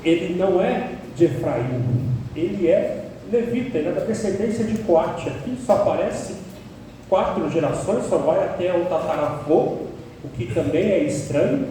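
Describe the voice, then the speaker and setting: A man from Paraná, Brazil, preaching an expository sermon, emphatic and building to sharp peaks on key words. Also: sits high, lively intonation, normal speed, slight background noise. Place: church sanctuary. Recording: echoey church hall, distant mic.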